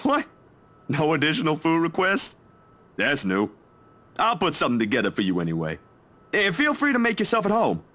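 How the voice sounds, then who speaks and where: A friendly young guy from a visual novel with very lively, swooping intonation. He talks casually and rambles, stammering when flustered but always caring. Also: a little high, moderate pace, long pauses. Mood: warm, joking.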